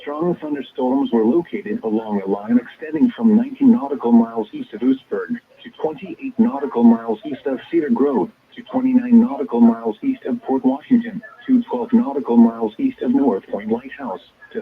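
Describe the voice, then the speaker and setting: A man's voice: fairly high pitch, big pitch swings, normal speed, creaky, hardly any pauses. A synthetic American male voice reading scripted weather bulletins in a formal, impersonal, segmented way, heard over the radio. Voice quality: synthetic text-to-speech voice. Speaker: automated weather radio announcer. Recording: dull, band-limited weather radio broadcast.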